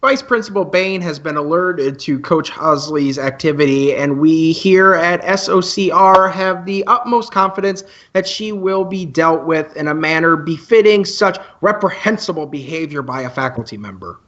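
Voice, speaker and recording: Man playing an earnest high-voiced student radio presenter. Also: moderate pace, hardly any pauses, a bit bright, dull audio, close to an everyday voice. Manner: mock-formal announcing with dry asides. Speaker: radio co-host character. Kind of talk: radio play in campus news broadcast style.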